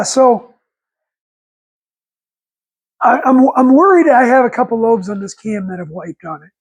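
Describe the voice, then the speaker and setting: A raspy man in his forties with a head cold, rambling folksy and correcting himself while talking through engine work in a garage on a lapel mic. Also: sits high, a little mellow, low-quality recording. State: congested with a cold. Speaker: channel host. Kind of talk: casual workshop vlog. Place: home garage workshop, indoors.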